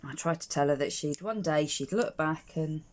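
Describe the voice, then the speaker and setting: A middle-aged woman with a croaky voice, chatting casually through a crafting tutorial at home, hesitant and full of ums and restarts. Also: sits low, moderate pace, a little mellow, slight background noise. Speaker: crafter demonstrating card making.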